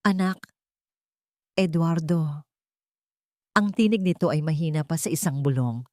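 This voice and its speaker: A woman's voice: low voice, animated, quick pace, warm tone, no echo, studio quality. A woman narrating a scripted audio story in a dramatic storytelling style.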